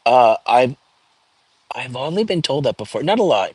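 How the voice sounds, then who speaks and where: A man with a calming, mid-pitched voice hosts a relaxed live stream and talks loosely between viewer comments, full of "um"s and restarts. His voice is recorded dry and close, with no room sound around it. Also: normal speed, breathy edge, no echo. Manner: chatty, reads viewer comments aloud, many fillers and restarts. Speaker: livestream host. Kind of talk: casual live-stream chat.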